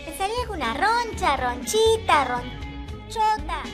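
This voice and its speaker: Parody dub: shameless, whiny Argentine wife, extremely high and animated, music underneath. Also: female voice, slow, full character voice. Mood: whiny, coy. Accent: Argentine Rioplatense.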